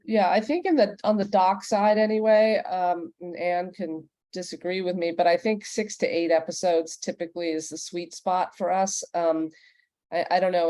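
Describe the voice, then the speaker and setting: A woman's voice: lowish voice, warm tone, dry recording. A woman moderating a panel discussion, giving plain-spoken advice in a conversational way and putting questions to the panelists. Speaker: panel moderator and podcast executive.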